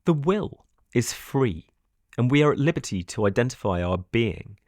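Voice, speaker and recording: A man reading a scripted philosophical audiobook in a measured, formal manner. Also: mid-range voice, very animated, darkish tone, no echo, studio quality. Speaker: narrator.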